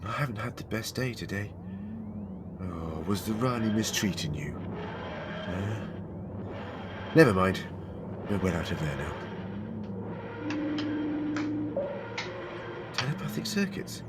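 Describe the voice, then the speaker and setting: A man's voice: lowish voice, very animated, normal speed, long pauses, heavy background noise. A man playing a heroic, emotional time-travelling alien adventurer in a fan audio drama, with dramatic, emphatic delivery. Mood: weary, gentle.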